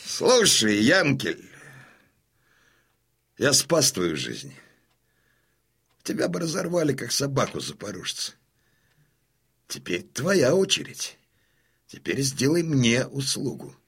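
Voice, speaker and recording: Radio play lead: proud old Cossack colonel, husky, slow and weighty, giving orders with authority. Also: male voice, mid-range voice, huge pitch swings, a bit bright, heavily acted. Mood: urgent, commanding. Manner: imperious, weighty, deliberate. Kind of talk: radio drama acting.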